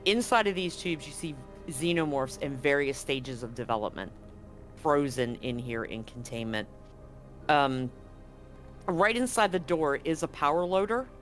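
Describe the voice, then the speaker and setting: Relaxed man running a tabletop game. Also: fairly high pitch, unhurried, soft background music. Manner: casual, with fillers, laughs at the table. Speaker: game master. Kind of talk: narrating a tabletop roleplaying game.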